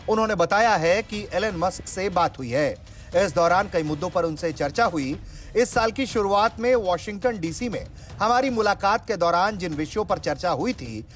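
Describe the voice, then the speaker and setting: A man narrating a scripted news report as a reporter. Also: high voice. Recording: music bed under the voice.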